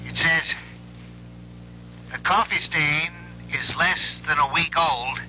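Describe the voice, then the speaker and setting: Dutiful police subordinate, piercing bright voice, matter-of-fact, 1970s radio drama, muffled old recording. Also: male voice, on the high side, slow pace, a little hiss or hum, heavily acted. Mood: businesslike. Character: dutiful police subordinate reporting to his chief.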